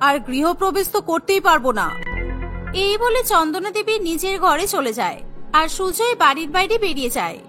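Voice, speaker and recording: A woman's voice: very high-pitched, pushed and bright. A woman narrating a scripted cartoon story.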